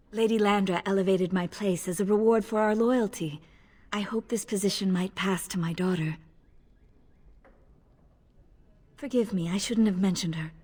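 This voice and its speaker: A woman as a breathy, modest elven servant. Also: medium pitch, close to an everyday voice. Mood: modest, tender. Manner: polite, deferential, soft-spoken. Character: modest, gentle elven lady-in-waiting, a young mother. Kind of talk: video game voice acting. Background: music.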